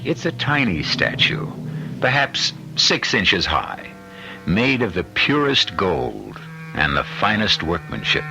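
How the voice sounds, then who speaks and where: A man hosting and narrating a radio mystery drama in a theatrical, rhetorical style with deliberate emphasis. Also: deep voice, poor audio. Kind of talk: scripted old-time radio mystery narration. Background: music.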